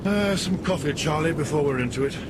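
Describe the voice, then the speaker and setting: A commanding male airliner captain acted by a man in a 1970s radio play. His voice is a little high, his manner dry and unruffled. Loud aircraft rumble runs behind him on the old recording. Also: fairly quick, lightly acted. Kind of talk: 1970s radio drama acting.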